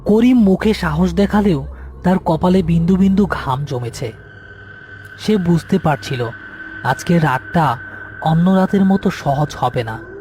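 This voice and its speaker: Higher-voiced man narrating horror over music. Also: a little slow, pauses often, a little mellow. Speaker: story narrator. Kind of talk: scripted horror story narration. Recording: music behind the voice. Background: background music.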